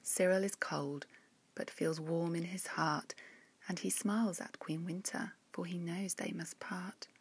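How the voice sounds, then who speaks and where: Husky, unhurried woman reading a storybook. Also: lowish voice. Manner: gentle, measured, expressive. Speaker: author reading her own story. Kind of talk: rhyming children's storybook narration.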